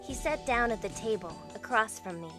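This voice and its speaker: A woman's voice: fairly high pitch, lively intonation, normal speed, over music, natural voice. A woman playing a bewildered young heroine, narrating her own story in an amateur visual novel dub. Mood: introspective. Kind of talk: first-person visual novel narration, fandub.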